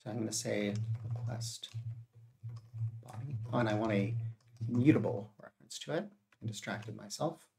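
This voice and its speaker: Man with a cold, gravelly voice. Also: fairly low pitch, normal speed, long pauses, a little hiss or hum. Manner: steady explaining with frequent uh and self-corrections. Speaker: live coding streamer. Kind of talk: thinking aloud while live coding.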